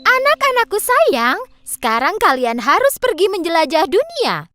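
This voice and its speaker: A woman's voice: very high-pitched, huge pitch swings, normal speed, sharp tone, soft background music, pitched above the performer's own voice, full character voice. A woman voicing a loving mother pig, tender and instructive, in a children's storybook. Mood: tender, encouraging.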